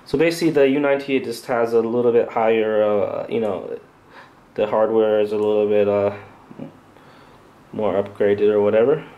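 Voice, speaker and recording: A man giving an unscripted product review, speaking in a hesitant, halting, off-the-cuff way as a channel host. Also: on the low side, level tone, dark tone.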